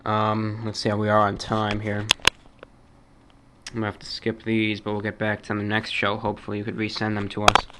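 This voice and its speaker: A man hosting a fan mail show, talking off the cuff and reading aloud in a deliberate, measured, slightly formal way. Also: on the low side, monotone, heavy background noise. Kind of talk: unscripted talk, reading and answering listener mail.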